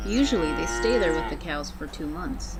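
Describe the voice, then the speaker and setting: A woman narrating a scary story aloud in a theatrical way, with deliberate dramatic pauses. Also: deep voice, animated. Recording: clearly noisy background.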